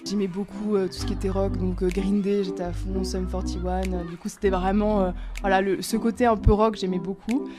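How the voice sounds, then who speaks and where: A woman co-founder of a sneaker brand chatting casually in an interview, easygoing with many fillers, often trailing off softly. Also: medium pitch, level tone, fairly quick, hardly any pauses, music in the background.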